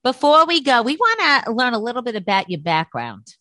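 A woman's voice: on the high side, very animated, brisk, bright, forceful tone, no echo. Earnest woman talk-show host, dry sound. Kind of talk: interview hosting.

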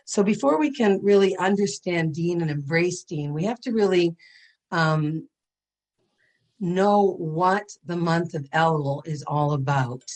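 A woman with a raspy voice giving a gentle, earnest spiritual class over a video call. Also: lowish voice, unhurried, rough recording. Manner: earnest and gentle, with frequent ums. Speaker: Torah educator and speaker.

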